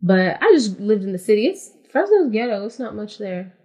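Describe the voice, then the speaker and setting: A woman's voice: medium pitch, big pitch swings, moderate pace, mellow. A woman from California, a podcast guest in casual interview chat, explaining things matter-of-factly and step by step with frequent "like".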